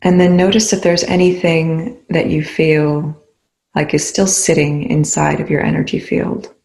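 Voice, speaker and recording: Low-pitched, even-toned woman guiding meditation. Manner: slow, measured phrases with pauses. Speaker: meditation guide. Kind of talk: guided meditation.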